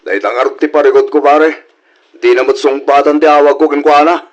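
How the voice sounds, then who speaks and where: A man's voice: fairly high pitch, steady tone, a little fast, muffled recording, close to an everyday voice. A man acting an aggrieved, defensive husband in an audio drama, with a complaining manner. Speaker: drama actor.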